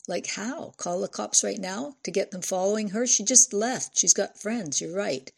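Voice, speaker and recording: A woman's voice: mid-range voice, lively intonation, normal speed, creaky, slightly rough, pitched above the performer's own voice. A woman voicing an anxious, affectionate mother of a teenager in an audiobook, earnest and coaxing. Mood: frustrated, pressing.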